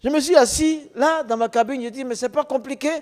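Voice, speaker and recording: High-voiced man preaching in a reverberant hall. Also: a little fast. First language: non-French mother tongue. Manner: emphatic, repetitive, rhetorical questions. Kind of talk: testimony preaching to an audience.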